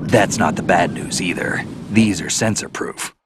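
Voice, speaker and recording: A man's voice: low voice, unhurried, background noise. A man voicing a tough, seasoned soldier in a video game, blunt, terse and matter-of-fact. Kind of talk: dramatic video game voice acting.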